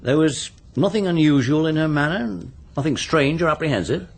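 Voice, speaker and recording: An actor playing a practical, matter-of-fact English police inspector making official inquiries in a radio mystery drama. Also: male voice, fairly high pitch, animated, brisk, creaky. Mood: practical, inquiring.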